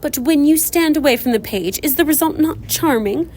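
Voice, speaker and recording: A woman's voice: high-pitched, lively intonation, moderate pace, a little mellow, slight background noise, pitched above the performer's own voice. A woman reading aloud as a vain, gossipy young woman with an affected, preening manner.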